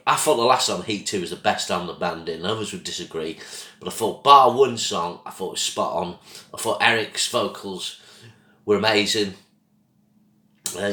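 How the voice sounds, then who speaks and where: A man hosting his own channel with a casual, rambling album review, his voice croaky from a throat infection, coughing now and then. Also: low voice, bright, forceful tone, echoey room. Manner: rambling, loses track of names, apologetic.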